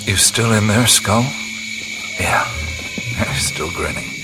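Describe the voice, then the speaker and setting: An actor playing a laid-back, skeptical adventurer hero, talking in a dry, wry way. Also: male voice, fairly low pitch, slow, poor audio. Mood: hushed. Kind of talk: radio play acting with inner monologue. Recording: studio radio play with music score.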